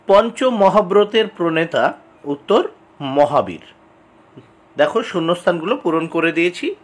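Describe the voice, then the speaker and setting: A man teaches by reading exam questions and answers aloud. His voice sits a little high and has a husky edge, with pitch that moves up and down in a very lively way. He speaks to students directly and repeats key answers in a deliberate, instructional manner. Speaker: exam tutor.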